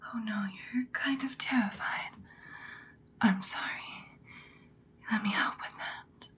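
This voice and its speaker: A woman playing a seductive vampire queen in an ASMR roleplay, whispering breathily in a soothing, predatory, deliberate way. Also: on the high side, fairly bright tone. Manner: slow, deliberate, hypnotic soothing.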